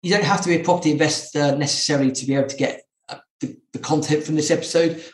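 A man's voice: on the high side, fairly even intonation, very fast. A man hosting a podcast interview in a casual, conversational style. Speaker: podcast host. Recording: echoey, roomy sound.

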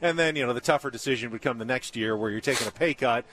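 Male sports talk host, live remote show, average pitch. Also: fast talker. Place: live remote broadcast at a baseball spring training site.

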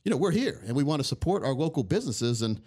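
A man's voice: medium pitch, very animated, fast talker, dark tone, slightly rough. A man hosting a talk radio show, chatting in a folksy way and often repeating his words. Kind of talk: casual chat.

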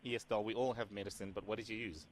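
A man's voice: on the low side, steady tone, quick pace, a little hiss or hum, natural voice. A man playing a sassy, overfamiliar prank caller who keeps calling the listener "girl" and "doll". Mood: sassy teasing. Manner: teasing, calls her "girl" and "doll". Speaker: radio host doing a prank call.

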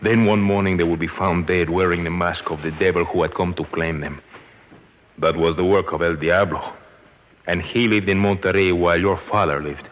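Male actor as loyal, anxious old Mexican retainer for radio drama: low-pitched, halting, Mexican accent, muffled vintage sound. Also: fairly even intonation, close to an everyday voice. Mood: earnest, revealing. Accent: Mexican Spanish. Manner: halting, pleading, deferential. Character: loyal elderly Mexican servant, humble, anxious, protective. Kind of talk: 1950s radio acting. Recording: noisy, muffled old 1950s radio recording.

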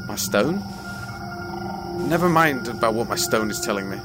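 A man's voice: mid-range voice, lively intonation, normal speed, music in the background, close to an everyday voice. An actor playing a cautious young man in an audio drama, wary and exasperated as he resists. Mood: reluctant. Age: young adult.

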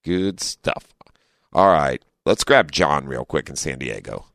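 A man with a deep voice hosting a call-in car radio show, talking in a friendly, chatty way with lots of fillers. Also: lively intonation, slow, creaky, fairly bright tone, no room sound, clean studio sound. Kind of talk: casual talk radio hosting. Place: radio studio. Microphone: studio microphone.

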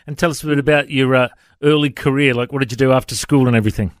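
A man hosts a radio interview, firing off friendly, joking questions at a brisk clip. The sound is dry, with no room echo. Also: medium pitch, steady tone. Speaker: radio host.

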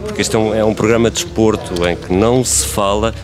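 A man's voice: fairly low pitch, fairly even intonation, quick pace, music in the background, lightly acted. A man playing a deferential radio newsroom host, polite and stammering, with a European Portuguese accent, in a comedic radio drama. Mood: defensive.

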